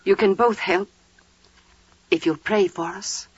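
A woman's voice: mid-range voice, steady tone, takes it slowly, breathy edge, no echo. An actress playing an earnest, resolute mother in a biblical 1940s radio drama, with solemn, dramatic delivery. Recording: muffled 1940s radio recording.

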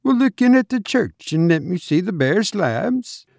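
A big, hearty Irish doctor from a storybook, acted by a male reader, lifted well up in pitch. He is blunt and emphatic, teasing and scoffing as he talks. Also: big pitch swings, measured pace, creaky, a little mellow, no echo, clean studio sound, heavily acted. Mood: jovial, teasing. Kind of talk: dramatised storybook reading.

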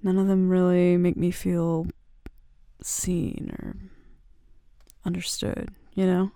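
A woman playing a gentle, touchy, vulnerable bad-girl roommate in an intimate ASMR roleplay, speaking softly and hesitantly into a close mic. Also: on the low side, level tone, dry recording. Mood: hurt, vulnerable. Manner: soft, hesitant, halting confiding talk. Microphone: close, dry microphone.

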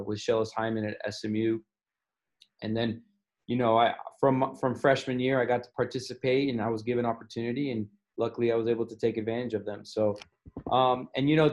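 A man from Miami, a soccer coach, answering interview questions thoughtfully with frequent restarts and fillers. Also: mid-range voice. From Miami, Florida.

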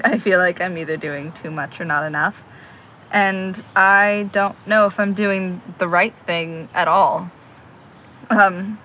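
A woman playing a sensitive, childlike young road-tripper in an audio drama, halting and given to sighs. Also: lowish voice, muffled recording, a little hiss or hum, lightly acted. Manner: halting, sighing. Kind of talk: naturalistic audio drama acting.

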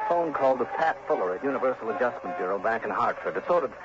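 A man's voice: medium pitch, animated, heavy background noise, natural voice. An actor playing a shrewd, self-assured male insurance investigator, wry and clipped, in 1960s radio drama style. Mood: wry, narrating. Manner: wry, clipped, deliberate storytelling. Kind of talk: 1960s radio drama acting, first-person narration. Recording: dull vintage radio recording, loud music behind.

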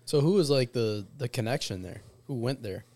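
A man's voice: mid-range voice, animated, moderate pace, dark tone, dry recording. Young man asking teasing questions. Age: 20s. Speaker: podcast co-host. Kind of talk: casual chat.

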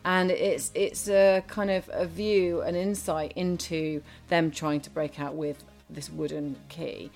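A woman's voice: low-pitched, fairly even intonation, slow pace, few pauses, darkish tone, faint music behind. A woman co-hosting a TV and film review show, chatting casually with many fillers and restarts.

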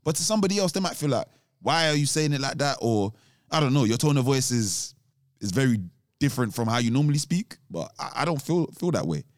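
A man's voice: mid-range voice, very animated, fast talker, no room sound. Reflective man, dry close sound. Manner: reflective, explains at length with restarts. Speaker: podcast co-host. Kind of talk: casual chat.

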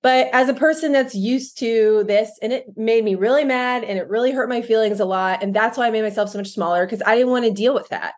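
A woman co-hosting a podcast, talking in an animated, emphatic way and often laughing. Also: on the high side, a little fast, few pauses. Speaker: podcast co-host. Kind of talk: casual podcast chat.

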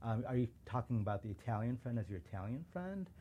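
A man's voice: mid-range voice, big pitch swings, fast talker, muffled recording, slight background noise. A man from suburban Chicago, a guest in a casual interview, speaking in a reflective, earnest way and acting out the remarks he quotes. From suburban Chicago, USA. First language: Urdu. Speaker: podcast guest, civil rights lawyer.

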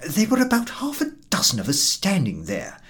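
A man's voice: fairly high pitch, wildly swooping pitch, moderate pace, pitched above the performer's own voice. A man voicing a baffled, indignant Englishman airing a comic grievance in an audiobook, in an exclamatory, aggrieved way. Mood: animated. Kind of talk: audiobook character dialogue.

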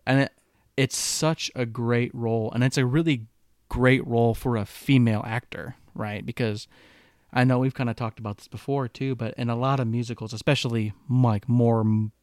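A man in a relaxed podcast conversation, talking loosely with many fillers and often asking "right?" to check for agreement. His voice sits at a middle pitch. The sound is dry and close. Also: normal speed, no echo. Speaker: podcast co-host. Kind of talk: casual chat.

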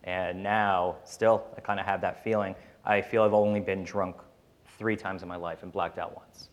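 A man's voice: deep voice, level tone, moderate pace, lo-fi sound. A man speaking as a podcast host on a panel, telling personal stories in a casual, candid, rambling way with some swearing.

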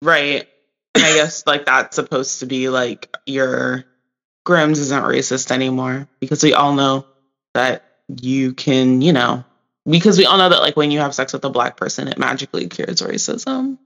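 A man's voice: mid-range voice, normal speed, vocal fry, bright, forceful tone. A man co-hosting a podcast in casual chat, laughing often and filling his talk with likes. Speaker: podcast co-host.

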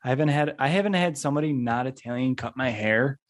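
A man's voice: mid-range voice, fast talker. A man in his mid-twenties hosting a podcast, talking casually and rambling with lots of "like". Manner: rambling, leans heavily on "like". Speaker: podcast host.

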